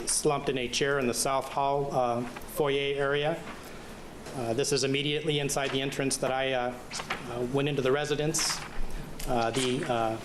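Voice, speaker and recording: A man gives testimony on a witness stand, his speech hesitant and broken by frequent fillers. His mid-pitched voice carries through a reverberant courtroom. A constant background hum sits behind him. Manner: hesitant, halting with many uh fillers. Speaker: witness, crime scene investigator.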